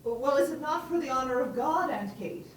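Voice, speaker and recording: A woman playing a tactful young niece who tries to calm her aunt. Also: high-pitched, normal speed, echoey room, far from the mic. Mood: placating. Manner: soothing, placating.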